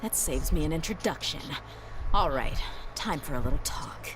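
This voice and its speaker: A woman's voice: sits low, lively intonation, normal speed. An actress playing a tough, confident female mercenary in a game, blunt and self-assured. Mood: menacing. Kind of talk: game voice acting. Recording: game audio with music behind.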